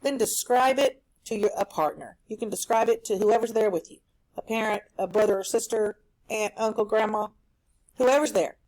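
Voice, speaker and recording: A woman teaching a recorded reading lesson for children at home, explaining a story in an animated, explanatory way. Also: medium pitch, normal speed, rough recording.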